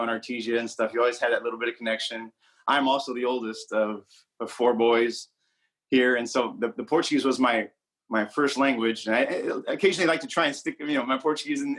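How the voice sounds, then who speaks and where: A man's voice: medium pitch, fast, fairly bright tone. A man in his forties from Southern California, talking casually as an interview guest and often repeating words as he searches for what to say. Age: 40s. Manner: rambling, repeats words while searching for phrasing.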